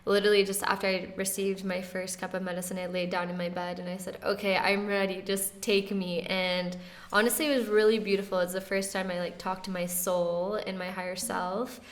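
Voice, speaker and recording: Young woman guest, flat even delivery, soft-spoken and easygoing, casual interview talk. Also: mid-range voice, a little fast. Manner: soft-spoken, relaxed, often laughing lightly, many "like" fillers.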